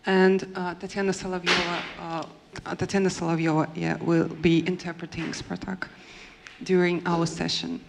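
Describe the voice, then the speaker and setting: A woman with a Russian accent, moderating a conference panel in a hesitant way with many fillers and pauses. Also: fairly low pitch, little pitch movement, gravelly, background noise.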